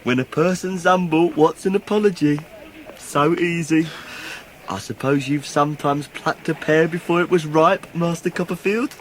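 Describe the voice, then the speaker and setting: An unctuous, scheming male clerk played by an actor in a radio drama. His voice sits rather high and drips with insinuating false humility. The recording has audible noise behind him. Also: moderate pace, close to an everyday voice. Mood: oily, smug.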